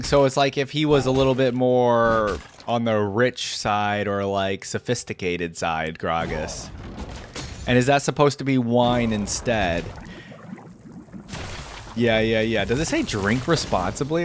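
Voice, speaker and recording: A man's voice: medium pitch, slight background noise. A man giving casual reaction commentary as a video creator, thinking aloud and hedging his opinions as he goes.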